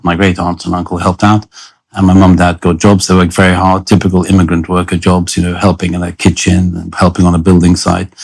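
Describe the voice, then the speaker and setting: A London man of about sixty talks as an interview guest, low and gravelly. His delivery is even and understated, in plain conversational reminiscence. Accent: London English. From London, England; born in Lebanon.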